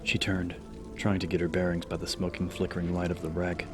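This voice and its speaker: A man's voice: sits low, completely flat delivery, brisk, a bit bright. A man reading scripted horror fiction as a dramatic audiobook narrator. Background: background music.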